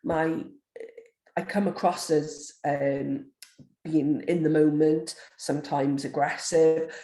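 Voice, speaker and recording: A woman with a deep, gruff voice, a nurse educator giving a recorded online lecture in a forthright, quick manner with frequent ums. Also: fairly even intonation, warm tone. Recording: roomy echo.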